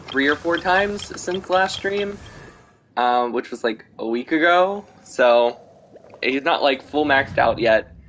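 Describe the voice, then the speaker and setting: A man's voice: medium pitch, fairly bright tone, slight background noise. A man chatting casually as he commentates his gameplay on a livestream. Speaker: game streamer. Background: faint game music.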